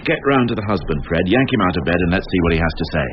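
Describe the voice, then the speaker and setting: Drily sardonic, weary police inspector, low-voiced. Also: male voice, expressive, racing. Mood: weary, businesslike. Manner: dry, understated irony. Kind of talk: radio crime drama acting. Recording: dull, noisy radio drama recording.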